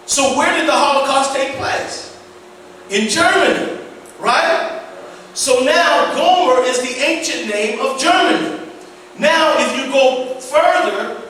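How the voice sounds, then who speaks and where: A man with a notably high, bright voice preaches in a slow, halting way, often repeating himself as he reads scripture. He sounds distant from the microphone, with long echo and a faint hum of room ambience behind him. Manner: slow, stumbling over Hebrew names, repeats words. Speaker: pastor. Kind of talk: Bible teaching sermon. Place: church hall. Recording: echoey hall, voice far from mic.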